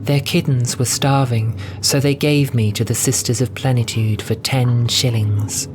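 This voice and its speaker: A man voicing a gentle young female cat nun in an audio drama, soft and wistful, with earnest storytelling. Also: mid-range voice, steady tone, unhurried, voice pushed up, close to an everyday voice. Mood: rueful, bitter. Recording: music bed under voice. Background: music.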